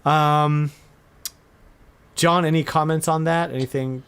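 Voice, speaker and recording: A man's voice: a little high, fairly even intonation, takes it slowly, fairly bright tone, smooth. A middle-aged man chatting casually as the host of a podcast. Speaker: podcast host and retro game store owner.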